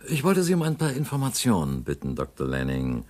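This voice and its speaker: A man playing a scheming politician in a radio drama, smooth and insinuating. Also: low voice, wildly swooping pitch, fairly quick, a little mellow, exaggerated voice. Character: slick scheming male politician, smooth and sly.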